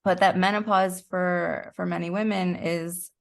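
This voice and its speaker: Creaky-voiced middle-aged woman. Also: fairly low pitch, steady tone, a little slow. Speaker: interview guest. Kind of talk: conversational explaining.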